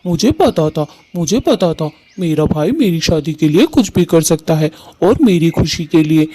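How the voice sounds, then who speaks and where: A man's voice: a little high, lively intonation, a little slow, mellow, slight background noise. A man voicing an earnest, devoted male bird in a Hindi family cartoon, speaking affectionately. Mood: warm, excited.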